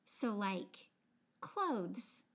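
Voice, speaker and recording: A woman's voice: medium pitch, big pitch swings, a bit bright. American woman, light regional accent, friendly podcast cohost, laughs easy. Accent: American, subtle regional. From United States. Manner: friendly, encouraging, quick to laugh. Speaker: podcast co-host. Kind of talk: casual podcast chat.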